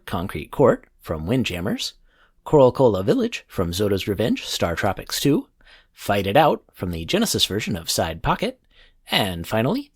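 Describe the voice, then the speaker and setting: A man hosting a music podcast, reading scripted introductions in a measured announcer-like manner. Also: medium pitch, slightly rough. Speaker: podcast host. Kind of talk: scripted music show narration.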